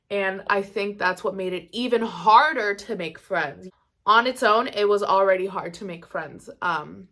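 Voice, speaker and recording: A woman's voice: mid-range voice, a bit bright. A woman in her twenties whose first language is Spanish, telling personal stories casually to viewers in a candid, chatty way full of "like" and "you know". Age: 20s. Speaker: vlogger.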